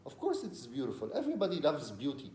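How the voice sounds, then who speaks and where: A man from the Levant talks through ideas in a relaxed, explanatory way, often pausing and repeating himself. His voice sits in a roomy space with faint ambient noise behind it. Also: on the high side. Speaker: discussion partner explaining a recorded talk. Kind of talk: casual explanatory conversation.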